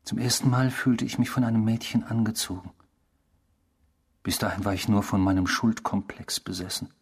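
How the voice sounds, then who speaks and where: A man's voice: on the low side, flat delivery, moderate pace, husky. A male actor in a German radio drama playing an intrusive, obsessive stranger who talks insistently and theatrically. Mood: brooding, reminiscing. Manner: insistent, theatrical, probing, swinging from hushed to emphatic.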